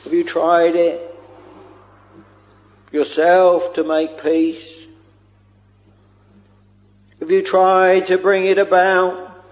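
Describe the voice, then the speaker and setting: A man preaching a slow, fervent sermon, drawing out his words and sometimes lifting into a chant-like lilt. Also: high voice, level tone. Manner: drawn-out, intoning, breaks into a chant-like lilt. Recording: dull lo-fi recording with long hall reverb.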